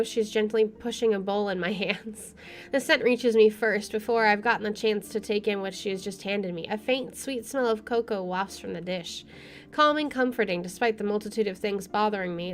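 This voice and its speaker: A woman streamer reading a visual novel's narration aloud in an expressive, storytelling style. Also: mid-range voice. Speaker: let's play streamer. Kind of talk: expressive read-aloud narration of game text. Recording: faint background noise.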